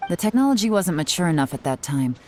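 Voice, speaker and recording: A woman playing a cool, composed intelligence chief in a video game, terse, measured and authoritative. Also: on the low side, big pitch swings, darkish tone, a little hiss or hum. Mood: measured, analytical.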